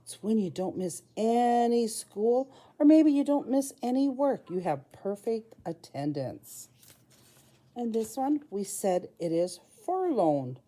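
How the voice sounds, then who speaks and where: A woman preschool teacher reading aloud at storytime for young children, speaking simply and deliberately in short phrases. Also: on the high side, sing-song, warm tone.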